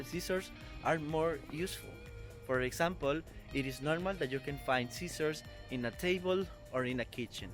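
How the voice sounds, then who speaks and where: Young man explaining over background music. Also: fairly high pitch. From Costa Rica. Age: 20s. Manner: earnest, careful non-native English with small grammar slips. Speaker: vlogger. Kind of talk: explanatory travel vlog. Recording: music playing underneath.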